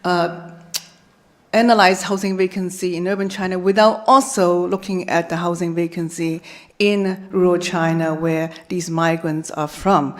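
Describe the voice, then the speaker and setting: A woman's voice: fairly low pitch, steady tone, a little slow. Hesitant woman. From Hong Kong. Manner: hesitant, stammering restarts. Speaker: conference panelist, academic. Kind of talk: conference panel remarks. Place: conference hall.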